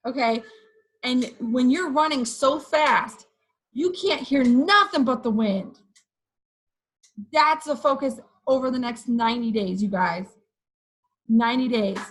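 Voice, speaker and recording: A woman with a hoarse voice giving an energetic motivational pep talk to her sales team, often checking in with "okay" and "you guys". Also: high voice, measured pace, long pauses, rough recording. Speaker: direct sales team leader.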